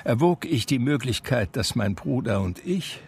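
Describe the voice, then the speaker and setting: An actor playing an aging man who recounts his memoir in a measured, reflective literary manner for a radio drama. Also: medium pitch, expressive, darkish tone, breathy edge. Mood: reminiscing. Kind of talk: literary first-person radio drama narration.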